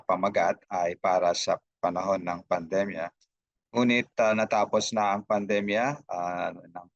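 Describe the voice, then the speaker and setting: A Filipino man giving unscripted opening remarks as a host, halting often with hesitations and broken-off words. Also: deep voice, dry recording, highs cut off. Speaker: host, institute director.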